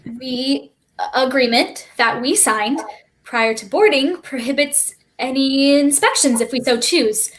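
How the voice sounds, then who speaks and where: A woman playing a defiant, quick-witted child spacewalker in a theatrical script reading, flustered yet argumentative. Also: sits high, fairly bright tone, low-quality recording. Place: remote online stream.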